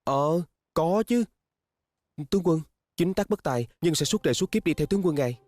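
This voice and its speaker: A man's voice: on the high side, lively intonation, moderate pace, a little mellow, dry recording, close to an everyday voice. A man playing a deferential young subordinate in a dubbed fantasy costume drama, stammering and hesitant as he speaks. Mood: nervous deference.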